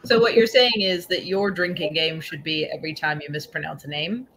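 A woman's voice: low-pitched, steady tone, fairly quick, background noise. A woman co-hosting a video call panel, asking questions in a friendly, inquisitive way. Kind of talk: casual panel talk. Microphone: webcam on video call.

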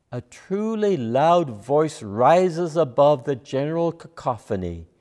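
Older male preacher, unhurried and deliberate sermon delivery, long church reverb. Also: medium pitch, a little mellow. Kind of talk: sermon, scripted and measured. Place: large church sanctuary, at a lectern. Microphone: lapel mic.

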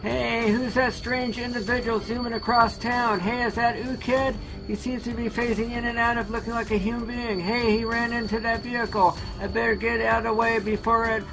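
A man playing a confident flying superhero in a homemade story, speaking loudly and emphatically. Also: extremely high pitch, little pitch movement, moderate pace, creaky, hardly any pauses, pitched above the performer's own voice, rough recording. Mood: alert. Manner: loud, emphatic heroic declarations. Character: heroic flying male superhero, brash and confident. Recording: music playing behind.

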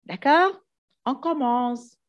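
Slow-paced woman teacher. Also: high voice, muffled recording. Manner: didactic, repeats key phrases slowly, checks understanding often. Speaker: French teacher. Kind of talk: exam coaching lesson, switching between two languages.

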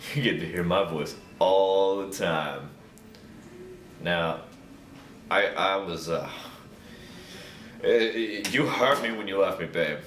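A man playing a drunk, lovesick, melodramatic young guy, rambling haltingly and repeating himself as if on a comic voicemail. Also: low-pitched, a bit bright. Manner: slurred, halting, repetitive, overwrought. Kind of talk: comedic rambling voicemail monologue. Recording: roomy, distant, noisy home recording.

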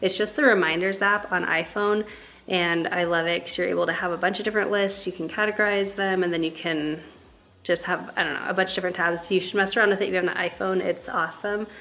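A woman's voice: fairly low pitch, fairly even intonation, fast talker, a bit bright. Chatty young woman vlogging in her kitchen. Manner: chatty, lots of fillers. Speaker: family vlogger. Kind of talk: casual vlog chat. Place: home kitchen, indoors. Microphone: handheld camera at arm's length.

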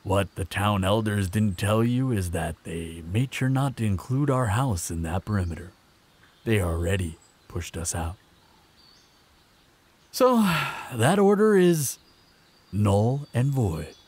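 A man playing a proud, protective vampire in a fantasy roleplay audio drama, speaking in measured, sardonic threats. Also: expressive, darkish tone, full character voice. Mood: firm. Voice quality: low, gravelly, husky. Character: proud protective male vampire, menacing, sardonic, fond of lover.

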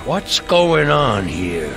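Gravelly old gunslinger preacher. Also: male voice, medium pitch, very animated, music in the background, heavily acted. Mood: demanding. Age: older. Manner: solemn, biblical, slow and weighty. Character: vengeful old gunslinger preacher, grim and tormented. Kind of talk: western video game acting.

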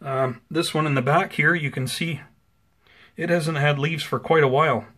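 A man giving a casual, unscripted plant update in an unhurried, explanatory, conversational way, recorded indoors on a handheld camera. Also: fairly high pitch, steady tone, bright, forceful tone. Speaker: host of a plant-growing video channel. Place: indoors at home beside potted seedlings.

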